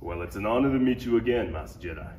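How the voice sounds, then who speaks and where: An echoing room with faint noise carries a man acting an armored bounty hunter father in a sci-fi parody. His low voice is cool and boastful, a father worn thin by unruly kids, and it moves up and down with lively range. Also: fast talker, close to an everyday voice. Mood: easygoing, proud. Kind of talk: live table read of parody script. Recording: echoey room, distant mic, faint noise.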